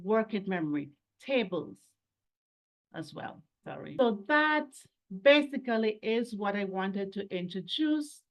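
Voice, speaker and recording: A woman's voice: mid-range voice, expressive, measured pace. A woman presenting a webinar as an educator, explaining in a didactic way with rhetorical questions and step-by-step lists.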